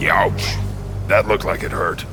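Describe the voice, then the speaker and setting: A man voicing a big, cantankerous non-human creature in a video game, with a gravelly voice and a gruff, sardonic manner. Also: low voice, fairly even intonation, slow pace. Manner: sardonic, gruff, folksy, foul-mouthed. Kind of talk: video game character acting. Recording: loud music under the voice.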